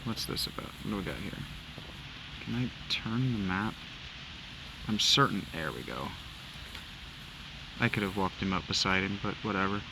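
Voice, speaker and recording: Rambling, low-pitched man gaming. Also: animated, normal speed, vocal fry, long pauses, background noise. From Ohio, USA. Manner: rambling, nostalgic, swears casually. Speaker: let's play gamer. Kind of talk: casual gaming commentary.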